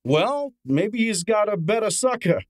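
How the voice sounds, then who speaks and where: An actor playing a breezy, wisecracking detective's assistant in an old-time radio drama, with slangy, wry delivery. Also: male voice, sits high, big pitch swings, measured pace. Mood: wry, brisk.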